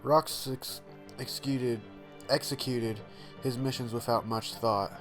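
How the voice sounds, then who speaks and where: Slow-talking man doing casual reactions. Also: mid-range voice, steady tone, fairly bright tone, faint music behind. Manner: halting, stumbles when reading aloud. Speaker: reaction video host. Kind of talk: casual reaction commentary with reading aloud.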